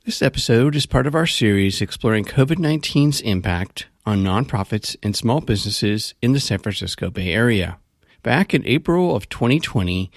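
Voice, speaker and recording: Casting: American male host, deep-set voice with heavy fry, presenting interviews and introductions in a dry acoustic. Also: dry recording. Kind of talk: scripted introduction and interview narration.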